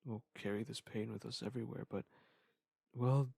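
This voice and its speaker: A man acting a wistful former lover in an intimate scripted monologue, speaking in a halting, reflective way with a husky, gravelly voice. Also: mid-range voice, steady tone, dark tone, no echo. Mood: resigned, wistful.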